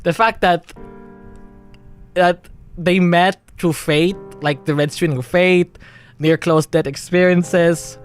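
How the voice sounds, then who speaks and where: A man's voice: sits high, steady tone, slow, long pauses, clean tone, soft background music. Rambling young Filipino man streaming. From Philippines. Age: young adult. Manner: rambling with many restarts and nervous laughs. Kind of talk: emotional livestream monologue.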